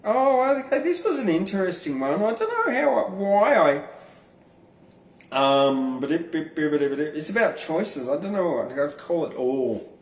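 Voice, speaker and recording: Slow-paced man reciting in a roomy space. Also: fairly high pitch, very animated, distant mic. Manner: informal, blunt, freely swearing. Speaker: podcast host and poet reading own verse. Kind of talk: performed poetry reading with casual asides. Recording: roomy, echoing room sound.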